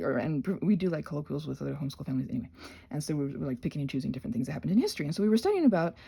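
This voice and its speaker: A woman who is sick, talking in a casual unscripted ramble as a channel host, halting and correcting herself often, with animated, emphatic asides. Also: deep voice, creaky, breathy edge. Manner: rambling, halting, self-correcting with many trailing restarts.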